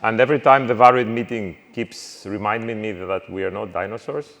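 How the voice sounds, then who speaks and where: A middle-aged man giving a university lecture, halting, with frequent fillers and restarts. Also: lowish voice, fairly even intonation, fairly bright tone. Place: lecture hall. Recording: reverberant lecture hall.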